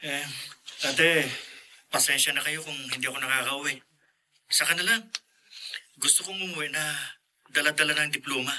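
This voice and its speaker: A man's voice: a little high, steady tone, normal speed, pauses often, bright, forceful tone. A man playing an earnest, determined young student and younger brother in a radio drama. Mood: apologetic, resolute. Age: young adult. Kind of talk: Filipino podcast radio drama acting.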